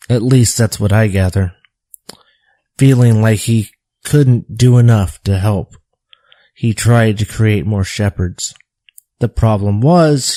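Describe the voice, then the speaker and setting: Guy acting as haunted man confessing his past, low dark mellow voice, halting, dry close recording, audio drama. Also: no echo, lightly acted. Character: tormented male shepherd recounting a painful past.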